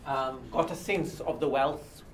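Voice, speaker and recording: Older male lecturer, South African accent. Halting, explanatory delivery, recorded at a distance from the mic. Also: mid-range voice, fairly even intonation. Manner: halting, repeating words as he explains. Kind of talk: informal history lecture to a small class. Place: small indoor seminar room. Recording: distant mic with light background noise.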